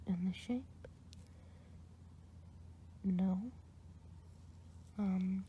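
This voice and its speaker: A woman performs a kindly good witch in a whispered ASMR roleplay. The voice stays soft and mellow, close and unhurried, with patient questions to the listener. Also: medium pitch, slight background noise, natural voice.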